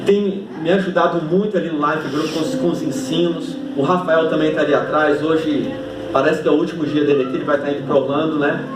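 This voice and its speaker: Portuguese-speaking pastor in an echoey church. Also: male voice, a little high, fairly even intonation, fairly quick, noisy background. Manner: warm joking thanks, then emphatic scripture reading. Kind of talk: sermon. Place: church hall. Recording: echoey church hall from a distance. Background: congregation ambience.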